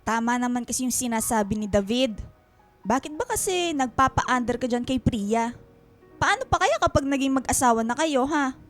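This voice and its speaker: A woman's voice: sits high, animated, normal speed, soft background music. A woman playing a proud, scolding older Filipino aunt in a radio drama, nagging with reproachful emphasis. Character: scolding, proud older aunt who raised her nephew.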